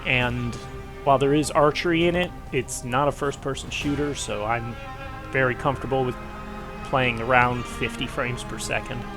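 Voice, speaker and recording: A man's voice: medium pitch, fairly even intonation. Guy with a cold talking through his pc game settings, stuffy, lots of uhs, bit of game music under it. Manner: rambling, frequent "uh" pauses. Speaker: gaming channel host. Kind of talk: casual gameplay commentary.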